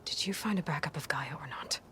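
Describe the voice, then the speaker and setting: A woman's voice: low-pitched, fast talker, sharp tone, whispered. A woman playing a determined, sardonic young female hero in a video game, speaking in a dry, defiant manner. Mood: guarded, bitter. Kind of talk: video game cutscene acting.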